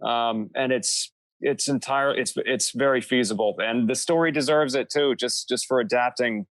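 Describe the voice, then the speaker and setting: A man's voice: mid-range voice, normal speed, fairly bright tone, no echo. A man speaking as a podcast guest in a casual panel discussion, explaining creative work in a rambling, self-interrupting way.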